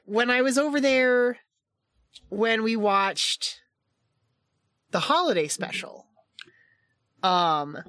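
A woman's voice: on the high side, animated, slow pace, long pauses, a bit bright, dry recording. A woman co-hosting a podcast in casual chat, rambling with lots of "like"s and laughing often. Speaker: podcast co-host and editor. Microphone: home podcast mic.

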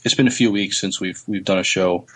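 Man, lowish pitch, husky, podcast co-host, casual, halting, word repetitions. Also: fairly even intonation, a bit bright.

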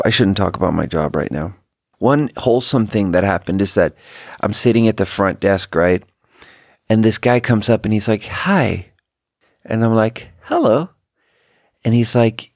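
A man from Sweden, a native Swedish speaker, telling rambling personal stories in a casual, self-deprecating, halting and wry way. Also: on the low side, no echo, studio quality. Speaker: podcast host.

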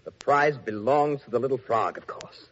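A man's voice: medium pitch, normal speed, a bit bright, slight background noise, close to an everyday voice. A man playing a fussy, easily exasperated old king and father in a theatrical fairy-tale audio play. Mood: bewildered. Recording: dull lo-fi recording, faint music.

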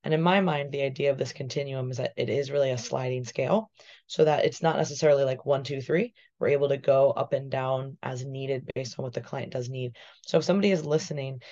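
A woman, a physique coach, slightly hoarse, explaining her coaching ideas in a relaxed podcast chat over a video call. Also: very deep voice, a little fast. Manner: thoughtful, explanatory, says "right?" often. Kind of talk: casual podcast discussion. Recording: muffled video call audio.

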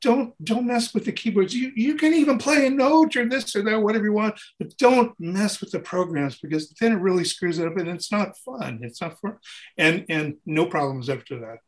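A man's voice: high voice, moderate pace. A man telling casual anecdotes as an interview guest, acting out bits of dialogue and repeating phrases for emphasis in an informal way. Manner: acts out dialogue and sounds while telling stories. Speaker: interview guest, touring keyboard player.

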